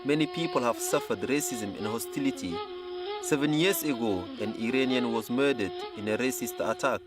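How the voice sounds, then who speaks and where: Male documentary narrator, formal and measured, loud music underneath. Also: medium pitch. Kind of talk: scripted narration. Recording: voice-over with loud music bed.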